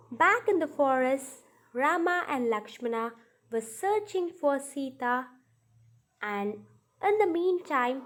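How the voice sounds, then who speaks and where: A woman narrating a children's story from a script, in deliberate, halting phrases with pauses. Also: fairly high pitch, a little hiss or hum, poor audio. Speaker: storyteller narrating a puppet show.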